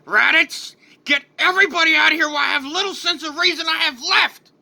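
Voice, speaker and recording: A man playing an anguished, vengeful warrior father in an animated-style story, with dramatic, strained declarations. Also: very high-pitched, drawn-out words, creaky, pitched above the performer's own voice, exaggerated voice. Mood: urgent.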